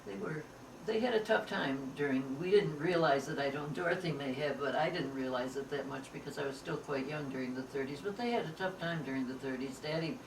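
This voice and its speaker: A woman's voice: sits low, a little fast, few pauses. A ninety-year-old American woman recalling family history in informal talk, piecing memories together as she goes. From upstate New York, United States. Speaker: interviewee, family elder. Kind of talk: informal oral history recollection. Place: echoey room, away from the microphone. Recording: echoey, distant, clear background noise.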